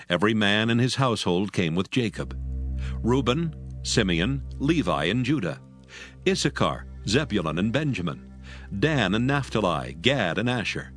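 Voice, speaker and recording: A man narrating scripture in a measured, solemn reading. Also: lowish voice, a bit bright.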